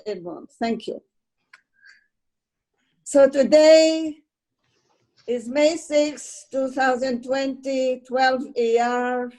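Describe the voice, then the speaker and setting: Slow, high-voiced woman host. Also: a little mellow, poor audio. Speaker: programme coordinator and host. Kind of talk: formal welcome speech on a video call. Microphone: video call.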